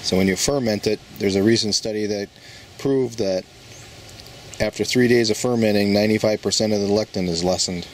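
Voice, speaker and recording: Folksy low-voiced man in his garden. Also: a little fast, low-quality recording. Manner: rambling, folksy, thinks aloud. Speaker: home gardener. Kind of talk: casual garden walkthrough. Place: backyard garden outdoors. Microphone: handheld phone camera. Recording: faint outdoor background noise.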